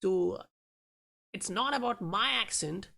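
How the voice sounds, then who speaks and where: A woman's voice: mid-range voice, lively intonation, slow pace, gravelly, pushed and bright. A woman with an Indian accent who is not a native English speaker, hosting a channel and explaining price charts with frequent fillers and restarts. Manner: explanatory, many fillers like "you know" and "uh". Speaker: crypto chart analyst, channel host. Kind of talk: talking through price charts in a vlog.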